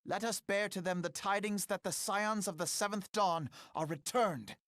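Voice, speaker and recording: A man's voice: high voice, moderate pace, fairly bright tone, dry recording. A man playing an earnest, resolute young scholar leader in a video game, with formal, theatrical diction. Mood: rousing determination. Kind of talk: dramatic video game cutscene acting.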